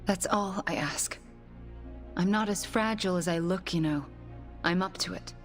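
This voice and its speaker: A woman playing a guilt-ridden, determined young sorceress in a video game cutscene, speaking softly and earnestly with breathy phrase endings. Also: fairly low pitch, normal speed, soft background music, close to an everyday voice.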